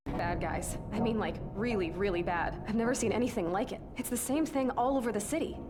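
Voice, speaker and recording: A woman's voice: mid-range voice, a little fast, over loud music, natural voice. A woman playing a chatty, earnest young woman in a video game, casual and emphatic. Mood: alarmed. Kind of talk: video game cutscene acting.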